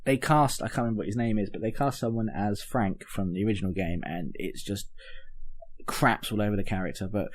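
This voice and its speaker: Husky-voiced man hosting casual chat. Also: lowish voice, a little fast. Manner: blunt, sweary, joking. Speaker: podcast host.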